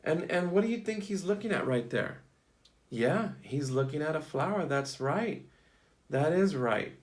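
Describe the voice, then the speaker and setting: A man's voice: fairly high pitch, lively intonation, unhurried. A man teaching young children through an interactive picture-book read-aloud, asking questions and repeating short words of praise.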